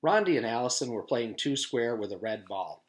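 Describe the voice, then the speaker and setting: Man with lively pitch reading a storybook. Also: normal speed. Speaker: teacher reading aloud to students. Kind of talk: animated children's storybook read-aloud.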